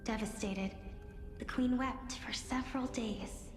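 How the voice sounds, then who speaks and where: An actress playing a playful young woman who tells dark legends in a theatrical, expressive manner for a video game. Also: on the high side, measured pace, natural voice. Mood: dramatic, ominous. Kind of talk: video game voice acting, dramatic tale narration. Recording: roomy reverberant sound with music behind.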